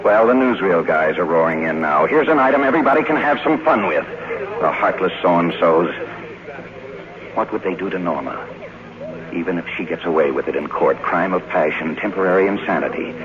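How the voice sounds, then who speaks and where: A man's voice: sits low, expressive, moderate pace, a crowd in the background. An actor playing a cynical, world-weary screenwriter in a 1950s radio drama, sardonic and blunt, narrating his own downfall. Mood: wry, weary narration. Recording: muffled, noisy 1951 radio broadcast.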